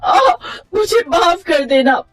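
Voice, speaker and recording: A woman's voice: sits high, lively intonation, takes it slowly, pitched above the performer's own voice. A woman voicing a young daughter-in-law crying in pain, remorseful, in a moral storybook tale. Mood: agonized sobbing.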